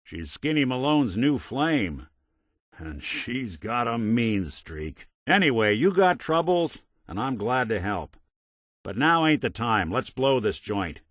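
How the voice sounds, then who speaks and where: An American actor playing a world-weary, gruff but decent synthetic private detective in a noir game, with a dry, sardonic manner. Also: male voice, mid-range voice, very animated, takes it slowly, a bit bright, dry recording, exaggerated voice. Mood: urgent, reassuring. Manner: dry, sardonic, old-time gumshoe slang. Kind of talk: noir hard-boiled detective game dialogue.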